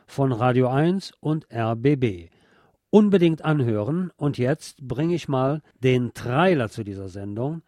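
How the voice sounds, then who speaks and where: A man's voice: mid-range voice, warm tone, dry recording. A man hosting a radio show, presenting informally in a conversational, recommending way on a close microphone.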